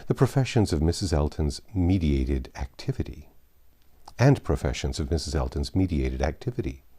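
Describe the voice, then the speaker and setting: A man's voice: a bass voice, very animated, moderate pace, warm tone, breathy edge. A man reading a classic novel aloud as scripted narration. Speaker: audiobook narrator.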